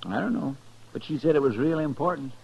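An actor playing an earnest, folksy, deferential deputy in a 1950s radio western, on a faintly noisy old recording. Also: male voice, fairly high pitch, expressive, fast, vocal fry, a little mellow, slightly rough, highs cut off, exaggerated voice. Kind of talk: 1950s radio drama acting.

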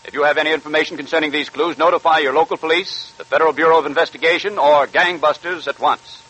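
A man's voice: on the high side, steady tone, fast, bright, forceful tone, a little hiss or hum, poor audio. An American man announcing on 1940s radio in a polished, scripted advertising style. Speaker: radio announcer reading a sponsor ad.

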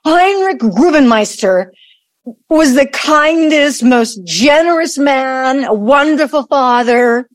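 A woman's voice: high-pitched, expressive, slow pace, no echo. A woman in an audio play acting a defensive, indignant grown daughter with a challenging, exasperated delivery. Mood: indignant, suspicious.

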